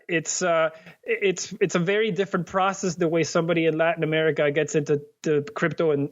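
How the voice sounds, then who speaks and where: A man from Venezuela speaking as a podcast guest, his voice sitting a little high. He explains things earnestly, restarting sentences and leaning on fillers as he goes. The audio sounds muffled and lo-fi. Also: a bit bright. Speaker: guest, company cofounder. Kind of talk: interview answers.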